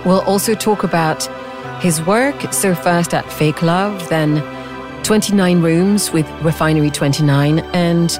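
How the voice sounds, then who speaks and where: A woman podcast host with a lowish voice opens with a scripted welcome and moves into easy, curious chat. Music plays clearly behind her. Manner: curious, chatty, laughs at herself. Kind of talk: scripted intro then casual interview chat.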